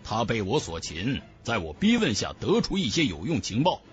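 A man's voice: mid-range voice, huge pitch swings, normal speed, soft background music, pitched below the performer's own voice. A man voicing a defiant, mocking young martial cultivator in a fantasy audiobook, with cool, taunting delivery. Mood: firm. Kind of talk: dramatic fantasy audiobook dialogue.

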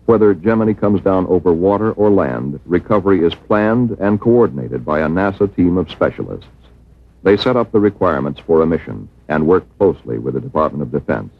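Casting: American male documentary narrator, deep and slightly rough, formal measured read, thin muffled vintage film sound. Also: animated. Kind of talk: scripted educational film narration.

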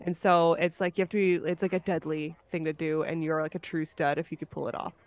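Woman podcast host, lowish voice, dry sound, chatty and informal. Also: flat delivery, quick pace, darkish tone, no room sound. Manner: informal, laughs easily. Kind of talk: casual chat.

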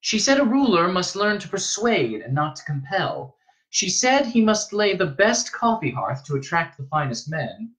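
A man's voice: high-pitched, very animated, moderate pace, fairly bright tone, pitched above the performer's own voice, low-quality recording. A man voicing an earnest, troubled teenage boy of noble birth, questioning and a little hesitant.

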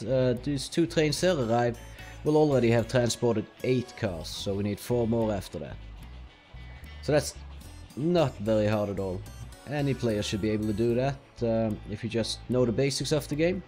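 A man's voice: mid-range voice, normal speed, soft background music. A young man from Norway with a Norwegian accent, speaking English as a second language while casually commenting on his gameplay step by step. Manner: relaxed, explaining step by step with fillers. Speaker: let's play host.

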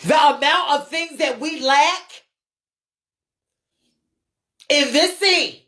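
A woman with a fairly high voice speaks unscripted, as if to a livestream following. She is blunt and emphatic, peppers her talk with swearing and slang, and repeats phrases to hammer them in. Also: drawn-out words, sharp tone. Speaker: livestream host, ballroom scene commentator.

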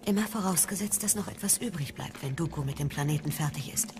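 Low-voiced, sober warrior master. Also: female voice. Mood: wary. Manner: measured, cautionary. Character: sober, sceptical female Jedi master. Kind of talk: radio play acting.

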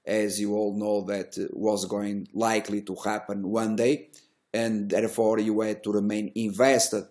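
A middle-aged man who is not a native English speaker, giving unscripted market commentary in halting fragments with drawn-out hesitations and a self-assured manner. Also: on the low side, little pitch movement, a little mellow. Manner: self-assured, boastful about results. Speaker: stock trading educator and host. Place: small indoor room.

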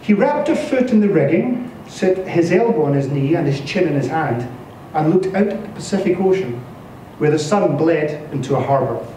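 A man in his thirties reading a literary story aloud with expressive, dramatic delivery. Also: fairly high pitch, normal speed. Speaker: story reader at a live literary event. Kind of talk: scripted literary narration read aloud. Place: bare-walled indoor venue, live reading. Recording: echoey room from a distance, faint noise.

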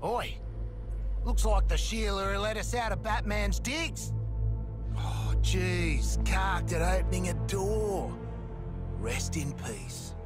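A mouthy, boozy Australian lowlife from a video game. His voice sits very high for a man and he drawls at a slow pace. He is brash and slangy, a wheedling coward, with music behind him. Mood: flippant. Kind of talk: video game cutscene acting.

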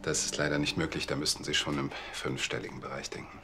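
An earnest bank clerk in a TV crime drama. He speaks formally and assuredly in a very low voice, over faint music. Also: little pitch movement, quick pace, sharp tone, exaggerated voice. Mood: defensive, assured. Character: earnest, assured male banker and church choir treasurer.

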